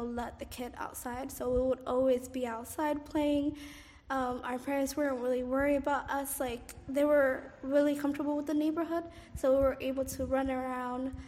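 High-voiced young woman, hesitant and reflective. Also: big-room sound. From Somalia, raised in Cairo, Egypt. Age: 20s. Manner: hesitant, frequent "um" and "like", soft laughs. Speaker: oral history interviewee. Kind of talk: casual personal recollection.

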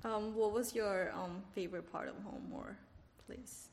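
A woman of middle pitch conducts an oral history interview, posing gentle questions that she often starts over, hesitating on "um." The recording has a long reverberant tail and a faint hiss underneath. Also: unhurried, gravelly. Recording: reverberant room, faint noise.

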